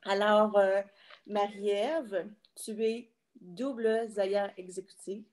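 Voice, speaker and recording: A woman's voice: mid-range voice, fairly even intonation, takes it slowly, darkish tone. A woman with a Quebec French accent hosting a casual online interview in an informal, chatty way, often feeling for her words. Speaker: interviewer and host.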